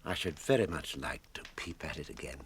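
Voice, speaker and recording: A man's voice: a bass voice, lively intonation, a little fast, slight background noise. A man acting an elderly, chatty, old-fashioned hobbit with a British accent in a radio drama. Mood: wheedling.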